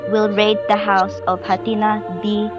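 A woman's voice: medium pitch, little pitch movement, a little slow, dull audio, natural voice. A woman playing a grave, solitary, proud villain in a game roleplay, speaking formally and solemnly. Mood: solemn, cool. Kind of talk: scripted game roleplay acting. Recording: music behind the voice.

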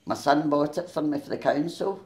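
An older Scottish woman with a gruff Glaswegian voice tells stories from her life in a chatty way and laughs easily. She speaks into a handheld mic in a small room with a noticeable echo. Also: sits low, expressive, a little fast, darkish tone. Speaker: interviewee. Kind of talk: oral history interview. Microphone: handheld mic held near her.

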